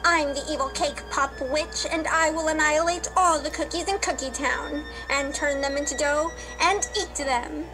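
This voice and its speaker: A woman's voice: sits high, fairly even intonation, moderate pace, few pauses, over loud music, lightly acted, low-quality recording. A woman playing an evil, gloating witch made of sweets in a children's radio play, declaiming threats. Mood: menacing gloating. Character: evil gloating Cake Pop Witch, menacing sweets villain.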